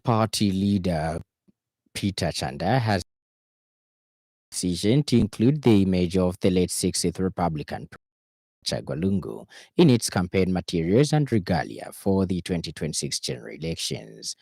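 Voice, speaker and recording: A male radio news reader with a Zambian accent delivers a formal, measured bulletin. His voice is low and mellow. The sound is dry and close, with hardly any room to it. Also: very animated, breathy edge, dry recording.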